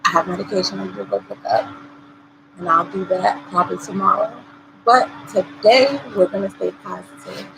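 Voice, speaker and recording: A hoarse woman, fighting off a cold, talks earnestly to her listeners. She encourages them and repeats her main points for emphasis. The recording is made at home, with clear noise behind her. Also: on the low side, lively intonation, normal speed. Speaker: host. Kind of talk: motivational talk show monologue.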